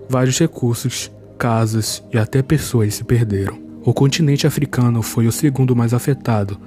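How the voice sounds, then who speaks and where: A man's voice: medium pitch, dark tone. A man with a Brazilian Portuguese accent giving a scripted narration that recaps a horror series.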